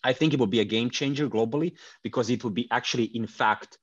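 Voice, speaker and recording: Fast-talking man. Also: medium pitch, no echo, lo-fi sound. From Croatia. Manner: fast, hesitant, many restarts and fillers. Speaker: crypto company founder, guest. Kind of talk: conversational interview answers.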